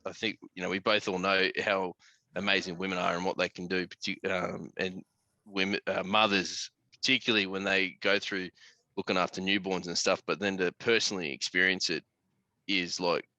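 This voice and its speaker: Bright-voiced, low-pitched Australian man. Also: level tone, normal speed, no room sound, rough recording. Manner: blokey, profane, slangy. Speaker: podcast host. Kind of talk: casual podcast chat.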